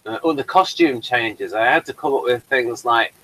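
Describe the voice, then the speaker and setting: A man's voice: mid-range voice, normal speed, pushed and bright, rough recording. A man talking casually about his stage play, rambling with many fillers and restarts. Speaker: playwright showing his work. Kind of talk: casual chat on a video call.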